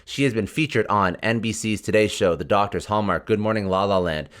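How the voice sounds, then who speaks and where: A man hosting an interview podcast, reading his introduction in a measured way. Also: fairly low pitch, a bit bright. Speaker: podcast host. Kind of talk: scripted intro.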